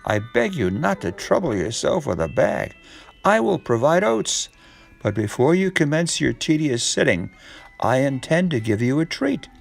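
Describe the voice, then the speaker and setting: A man voicing a sly, formal, bossy fox gentleman with sandy whiskers in a storybook reading. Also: mid-range voice, creaky, heavily acted. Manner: formal, courtly, turning bossy. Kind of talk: storybook character voice.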